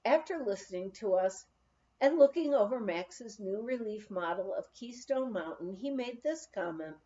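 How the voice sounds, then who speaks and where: A woman reading a memoir aloud as an audiobook, with an expressive, deliberate storytelling delivery. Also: medium pitch, highs cut off. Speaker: audiobook reader. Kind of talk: scripted memoir narration, read aloud.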